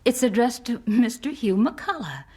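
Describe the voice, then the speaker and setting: An actress playing a supportive 19th-century wife, gentle, knowing and breathy, in a radio drama. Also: female voice, on the high side, very animated, normal speed. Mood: tender, teasing.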